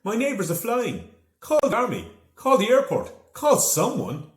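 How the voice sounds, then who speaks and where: Man putting on an excitable neighbour, high-pitched, echoey. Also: a little slow, full character voice. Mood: panicked. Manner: exclamatory, flustered. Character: absent-minded, excitable male neighbour. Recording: echoey room.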